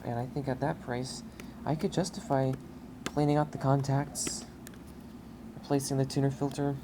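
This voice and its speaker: Very low-voiced woman, matter-of-fact, background noise. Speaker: hobbyist video creator. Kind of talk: casual hands-on commentary. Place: basement. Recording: noisy camera recording in basement.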